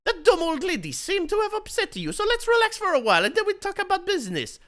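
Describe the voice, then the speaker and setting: A streamer voicing a pushy middle-aged male businessman in a squealing, rushed, overexcited voice. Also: extremely high pitch, huge pitch swings, a bit bright, pitched above the performer's own voice, heavily acted.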